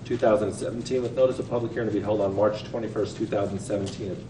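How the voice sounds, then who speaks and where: A man chairing a council meeting, reading procedural motions in a brisk, routine way, recorded in a large council chamber. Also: lowish voice, steady tone. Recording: echoey chamber, far mic, background noise.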